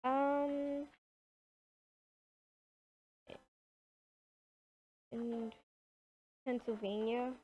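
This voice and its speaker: A woman voicing a shy, bereaved young woman in an improvised game roleplay, hesitant and soft-spoken. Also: fairly high pitch, fairly even intonation, drawn-out words, muffled recording, a little hiss or hum, voice pushed up. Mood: subdued.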